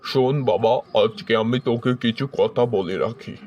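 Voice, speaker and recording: A man's voice: medium pitch, moderate pace, a little mellow, close to an everyday voice. A man voicing a grumbling, sarcastic middle-aged father and husband in a comedy cartoon dub. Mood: lecturing. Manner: sarcastic, lecturing.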